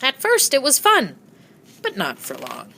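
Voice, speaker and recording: A woman narrating a children's storybook aloud with expressive storytelling delivery. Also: high voice, unhurried, vocal fry, slight background noise. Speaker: storybook reader.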